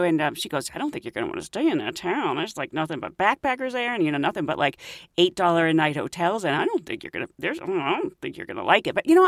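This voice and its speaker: Lively chatty woman podcast host. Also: no room sound, studio quality. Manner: chatty, laughs often, lively rises in pitch. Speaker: podcast host, flight attendant. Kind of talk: casual storytelling.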